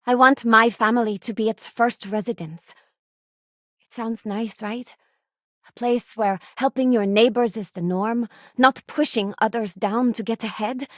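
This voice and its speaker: An actress voices a loyal young female spaceship gunner for a video game. Her voice sits a little high and is recorded very dry. She speaks clearly and conversationally, with an earnest, dependable temper. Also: fairly even intonation, unhurried, no echo, lightly acted. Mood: earnest conviction. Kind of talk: video game companion voice lines.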